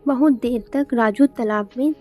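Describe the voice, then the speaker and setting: A woman's voice: on the high side, normal speed, warm tone, faint music behind. A woman reading scripted narration for a moral story, as a storyteller.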